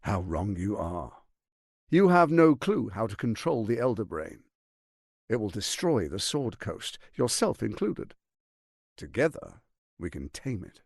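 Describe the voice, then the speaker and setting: A man's voice: fairly low pitch, big pitch swings, measured pace, gravelly, a little mellow, no echo, clean studio sound, heavily acted. A man voicing a suave, scheming, arrogant archduke in a fantasy video game, silky, grandiose and condescending in his theatrical delivery. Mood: smooth, commanding.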